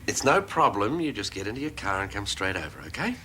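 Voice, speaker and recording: An actor playing a steady, reassuring man. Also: on the low side, fast. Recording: hiss on old TV audio.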